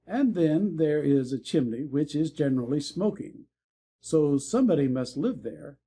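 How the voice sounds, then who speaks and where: A man playing a frank, worldly young Victorian gentleman in a dramatic audiobook, speaking in measured, formal phrases. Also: fairly high pitch, expressive, breathy edge. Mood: dry, conversational.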